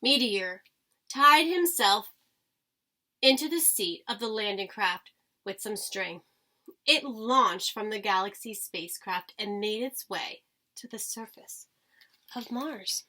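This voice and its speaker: A woman's voice: medium pitch, animated, takes it slowly, pushed and bright. A woman reading a children's storybook aloud like a teacher, expressively, with dramatic emphasis. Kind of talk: expressive children's storybook read-aloud with short asides to listeners.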